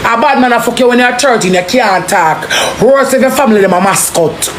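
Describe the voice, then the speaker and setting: A Jamaican woman delivers a forceful, impassioned rant in casual street talk. She curses heavily and hammers phrases by repeating them. The recording is echoey, with clear noise behind her voice. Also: fairly high pitch, a little fast. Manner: forceful, heavy cursing, repeats phrases for emphasis. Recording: roomy echo, clearly noisy background.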